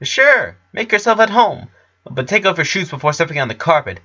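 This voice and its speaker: An excitable young boy, acted out by a man, pitched well up and bright. He is eager, fussy and inquisitive, the devoted best friend in a cartoon fan story, on a slightly dull recording. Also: moderate pace, exaggerated voice.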